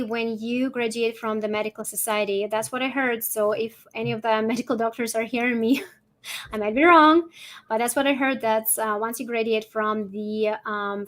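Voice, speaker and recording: A woman with a Russian accent and a somewhat high-pitched voice speaks as a guest coach in a conversational interview. She explains step by step, often punctuating her phrases with a checking "right?". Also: fairly bright tone. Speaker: guest, holistic fertility coach.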